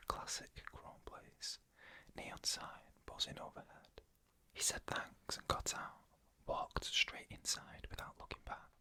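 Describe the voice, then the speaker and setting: A narrator reading eerie stories in a hushed, breathy ASMR whisper, in short measured phrases.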